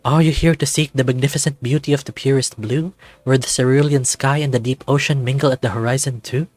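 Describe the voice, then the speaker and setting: A man voicing a dreamy goat dragon painter in a game, with a flowery, refined, unhurried manner. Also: mid-range voice, steady tone, darkish tone, no echo, soft background music, lightly acted.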